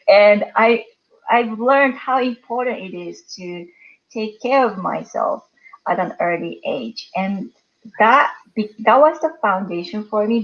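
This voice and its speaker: Slow-speaking woman from Japan, often laughing. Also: medium pitch, muffled recording. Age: 30s. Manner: warm, laughs often between phrases. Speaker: guest. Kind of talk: interview answers.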